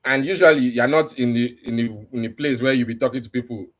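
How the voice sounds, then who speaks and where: A man's voice: medium pitch, fast talker, a bit bright. Conversational man hosting a casual livestream. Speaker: livestream host and podcaster.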